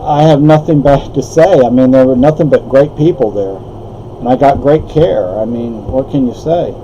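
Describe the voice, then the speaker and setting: Older man, native Spanish speaker, mellow voice, meandering talk, faint hum behind. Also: medium pitch, moderate pace, highs cut off. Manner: meandering, with frequent restarts and asides. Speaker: vlogger. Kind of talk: rambling personal monologue.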